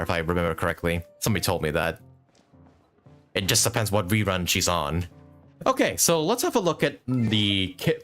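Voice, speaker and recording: A man with a fairly low voice and lively, swinging intonation talks through a game on a livestream. He rambles casually and thinks out loud, cursing lightly. Music plays behind him. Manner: rambling, thinking aloud, mildly swearing. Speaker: live streamer. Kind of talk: casual gaming stream commentary.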